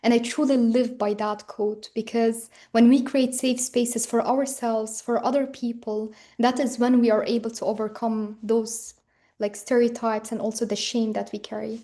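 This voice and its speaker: A woman's voice: fairly high pitch, flat delivery, normal speed, warm tone. A young woman answering questions as a guest in a webinar interview, speaking earnestly and reflectively with frequent "like" fillers, over a video call. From Palestinian and Ukrainian background.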